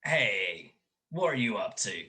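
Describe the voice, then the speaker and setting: A man playing a dopey, impulsive young ex-college football player in a radio-style comedy mystery, blustering and excitable. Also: fairly low pitch, slow pace, full character voice. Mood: suspicious, blustering.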